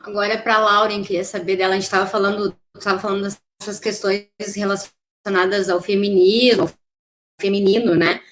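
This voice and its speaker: A woman's voice: mid-range voice, little pitch movement, normal speed, low-quality recording. A woman, asking questions conversationally as a podcast interviewer.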